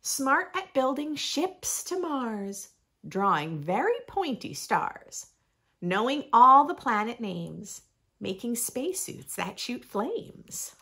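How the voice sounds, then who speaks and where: A woman in her thirties reading aloud expressively for young children, with playful emphasis and deliberate phrasing. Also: fairly high pitch, big pitch swings, fairly bright tone, breathy edge. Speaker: host of a children's read-aloud channel. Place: small furnished room indoors.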